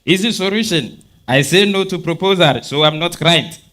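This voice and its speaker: A man's voice: on the high side, normal speed, fairly bright tone, close to an everyday voice. A man playing a forward Ukrainian businessman in a stage reading, with an Eastern European accent and blunt, broken English. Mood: matter-of-fact, persuasive. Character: forward, persistent Ukrainian businessman courting a woman.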